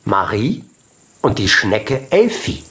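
A man narrating a children's audiobook expressively, in short measured phrases. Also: lowish voice, sing-song, a bit bright. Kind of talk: scripted children's story narration.

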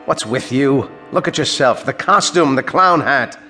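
An actor playing a bewildered, insistent army major in a radio drama, speaking in an urgent, halting, questioning way. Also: male voice, medium pitch, lively intonation, pushed and bright, faint music behind.

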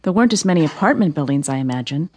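An actress playing an earnest, inquisitive amateur sleuth in an audio drama, matter-of-fact and questioning, with a husky voice. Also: female voice, deep voice, animated, racing, darkish tone, a little hiss or hum. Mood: curious.

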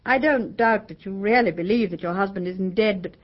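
An actress playing an astute, concerned English detective's wife in a vintage British radio drama, quick to reason and question. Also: female voice, medium pitch, close to an everyday voice. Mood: curious. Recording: dull vintage radio recording.

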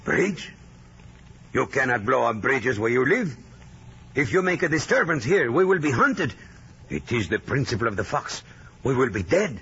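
An actor playing a wary, surly guerrilla leader worn down by being hunted, in vintage radio drama style. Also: male voice, medium pitch, sing-song, normal speed, pauses often, a bit bright, slight background noise. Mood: angry, weary. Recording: muffled old radio drama recording.

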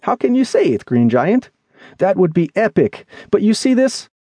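A man voicing an excitable, weary and earnest male hiker friend in dramatic, pleading audiobook dialogue. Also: high-pitched, moderate pace, husky, dry recording, clean studio sound, voice pushed up, full character voice. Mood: doubtful, excited. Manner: exclamatory, pleading, questioning. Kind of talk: acted dialogue in audiobook memoir.

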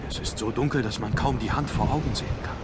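An actor playing an uneasy young gentleman traveller in an audio drama, agitated and questioning. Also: medium pitch, fast talker, lightly acted. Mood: uneasy, bewildered. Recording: very noisy outdoor ambience effects.

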